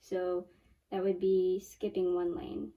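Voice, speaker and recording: A 12-year-old girl with a squeaky voice hosts a casual hands-on science demo, chatting and correcting herself as she walks through each step in a small home lab. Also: level tone, slow, vocal fry, dark tone, dull audio. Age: 12 years old. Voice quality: very high squeaky young voice. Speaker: young science channel host. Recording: voice from a distance off the mic.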